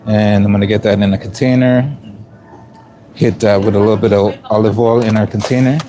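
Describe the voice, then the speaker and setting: A fairly low-pitched man with a raspy edge talks viewers through his prep in a work kitchen. He keeps it loose and conversational, with frequent ums and throat clearing. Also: fairly even intonation, brisk. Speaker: chef livestreaming from work. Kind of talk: casual live-stream narration.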